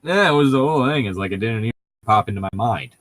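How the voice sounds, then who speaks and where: A man with a mid-pitched voice, recorded close and dry. He talks like a co-host in a casual critical chat, rambling and exasperated, often stopping to rephrase his point. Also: lively intonation, fast, fairly bright tone, no echo. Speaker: co-host reading and mocking a novel. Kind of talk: casual critical chat with read-aloud lines.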